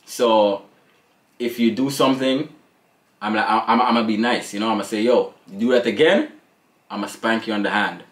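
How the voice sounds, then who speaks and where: Animated Jamaican man in an echoey room. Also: lowish voice, a little fast. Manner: animated, laughs often, slangy with "yo" and "man". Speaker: family vlogger, co-host. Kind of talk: casual couple chat. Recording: echoey room with faint noise.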